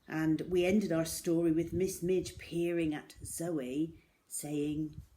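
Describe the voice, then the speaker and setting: A woman reading a children's story aloud in an expressive, dramatic way, with deliberate pauses. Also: sits low.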